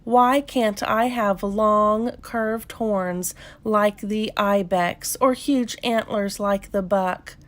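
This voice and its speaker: A young goat girl from a children's storybook, played by a woman reader. She is wistful and eager, with a childlike, plaintive manner and slow, drawn-out delivery. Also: medium pitch, steady tone, close to an everyday voice.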